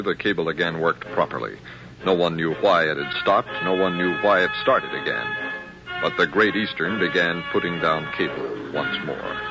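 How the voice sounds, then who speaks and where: A man with a low voice narrates an old radio drama from the 1950s. He tells it in a measured, dramatic way. The sound is muffled, with loud music behind him.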